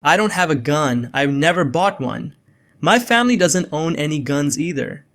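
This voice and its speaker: A man's voice: on the high side, lively intonation, moderate pace, a bit bright. A young man playing a bewildered innocent framed as an assassin, narrating his own story in a scripted drama. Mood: startled, incredulous. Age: young adult. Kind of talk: first-person scripted narration with dialogue.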